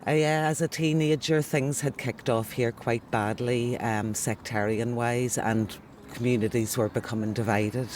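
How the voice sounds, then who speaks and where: A man's voice: mid-range voice, fairly even intonation, a little slow, a little hiss or hum. A man in his sixties with a Northern Irish accent, speaking earnestly in a documentary interview as if addressing a child. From Northern Ireland. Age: 60s.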